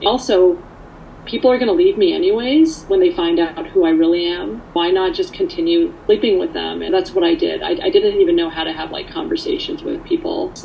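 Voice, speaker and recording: A woman's voice: fairly low pitch, gravelly, dark tone, slight background noise, rough recording. A woman from Canada, born in Poland, giving candid, earnest personal testimony in a conversational way. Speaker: speaker sharing her recovery story.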